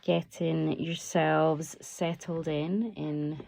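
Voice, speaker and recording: A woman guiding a relaxation meditation as a yoga teacher, soothing and unhurried, offering gentle, permissive instructions. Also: deep voice, flat delivery, creaky, poor audio.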